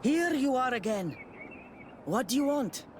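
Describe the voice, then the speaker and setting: A man's voice: extremely high pitch, big pitch swings, measured pace, full character voice. A man playing a blunt, guarded clan herbalist in a video game. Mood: guarded, stern. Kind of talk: video game cutscene dialogue. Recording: clear outdoor ambient noise.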